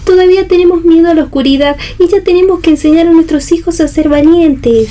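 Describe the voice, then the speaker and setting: A woman's voice: very high-pitched, fairly quick, mellow. A woman reading an earnest, passionate young female part in a radio play, in a theatrical, declamatory manner. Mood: earnest pleading. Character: earnest pleading young girl, later brazen passionate mistress.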